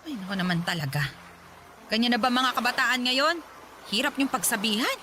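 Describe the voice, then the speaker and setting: A woman's voice: a little high, lively intonation, normal speed, pushed and bright, a little hiss or hum. An actress playing a stressed, middle-aged mother who scolds her daughter. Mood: scolding. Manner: scolding, exasperated.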